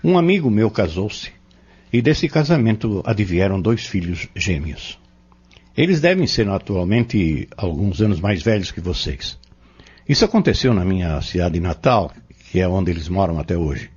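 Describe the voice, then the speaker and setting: Husky, deep-voiced Brazilian teacher played by a man. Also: very animated, a little mellow, full character voice. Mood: earnest, instructive. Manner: didactic, rhetorical questioning, measured phrasing. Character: earnest male Spiritist teacher explaining doctrine to youths. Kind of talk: dramatized audio novel dialogue, didactic. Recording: dull lo-fi audio.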